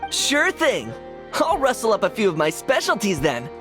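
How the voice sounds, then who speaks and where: An actress voicing a friendly adult chef who greets customers in a breezy, welcoming way. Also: female voice, medium pitch, huge pitch swings, moderate pace. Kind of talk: video game voice acting. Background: game music.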